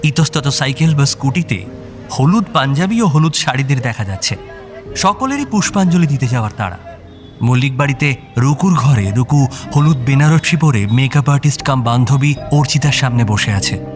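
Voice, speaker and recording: A man's voice: mid-range voice, animated, moderate pace, soft background music. A man narrating a scripted audio story.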